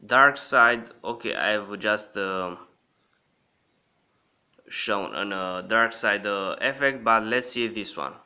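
A man presents a casual app walkthrough as a channel host. His voice is sharp and clean, and he speaks slowly and haltingly, stopping often to check that the listener follows. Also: fairly low pitch, clean tone. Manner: halting, repeatedly checking in with "okay".

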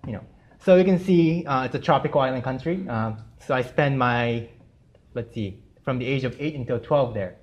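A young man from Japan, a Japanese speaker at heart, talking to a class in a relaxed, friendly way with plenty of "um" and "you know". His voice is of middling pitch and comes from a camera across the lecture room, so it sounds a little dull. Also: moderate pace. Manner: friendly, chatty, many fillers. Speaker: artist giving a gallery lecture. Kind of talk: casual presentation to students. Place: university lecture room with projector screen.

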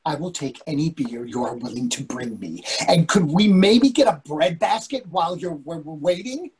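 A man's voice: on the high side, lively intonation, moderate pace, a little mellow, husky. An older man playing an impatient, grumbling husband, blunt and exasperated, in a comedy play read over a video call. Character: impatient, grumbling husband craving beer and food. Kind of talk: readers theatre comedy acting. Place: indoor room on a video call. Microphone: video call microphone.

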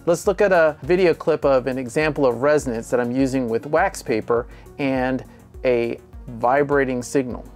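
A man with a slightly creaky voice, explaining step by step as host of a tech tutorial. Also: mid-range voice, moderate pace, darkish tone, faint music behind.

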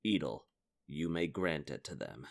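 Man voicing a stern, deep knight. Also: lively intonation, gravelly, full character voice. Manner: formal, stern, measured. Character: stern, formal male ant knight, royal blade. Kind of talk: fan dub of a video game.

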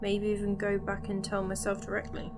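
Actress as eager young female companion, inquisitive, informal, mid-range pitch, audio drama dialogue, loud music behind. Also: completely flat delivery, fairly quick, lightly acted. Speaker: actor in fan audio drama. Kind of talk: audio drama acting, conversational.

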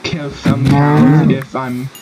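A man giving casual gameplay commentary in a halting way, with sentences that trail off. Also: medium pitch, flat delivery, over loud music. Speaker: gaming video host. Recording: very noisy home game recording with clicks.

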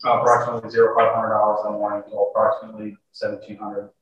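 A man's voice: on the low side, flat delivery, very fast, a bit bright, highs cut off. A man testifying as a sheriff's deputy, giving terse, matter-of-fact formal answers. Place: courtroom, picked up from afar. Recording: very echoey courtroom, distant mic, faint noise.

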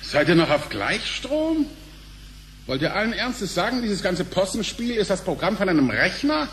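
An actor playing a brash, crude and jovial company director in a radio play, blustering in blunt, slangy exclamations with an excitable delivery. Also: male voice, high voice, moderate pace, a little hiss or hum. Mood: incredulous. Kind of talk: German radio play acting.

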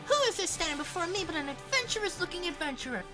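A tiny, chirpy fisher from a fantasy game fan dub. The voice is extremely high. The delivery is showy and flowery, with music clearly behind it. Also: female voice, animated, fast talker. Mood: grandly cheerful. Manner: grandiloquent, alliterative showmanship.

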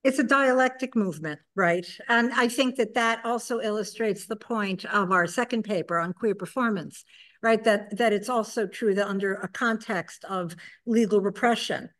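A woman's voice: medium pitch, moderate pace, a bit bright. Woman moderator, academic, articulate, thinks aloud at a panel table. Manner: articulate, academic, some stammering restarts. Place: conference room panel table.

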